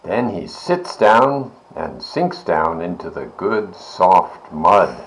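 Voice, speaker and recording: Slow, very expressive man reading a storybook. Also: low voice, a little hiss or hum, rough recording. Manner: expressive, deliberate. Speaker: volunteer story reader, library board member. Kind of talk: children's storybook read-aloud.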